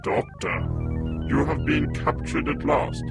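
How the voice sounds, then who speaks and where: A ruthless cyborg leader barks flat, merciless orders, as a man acts him out. His delivery is unhurried and clipped, with big lurches in pitch. Loud dramatic music plays behind him. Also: full character voice. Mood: cold, menacing. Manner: menacing, slow and clipped. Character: cold commanding male cyborg leader. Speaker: villain.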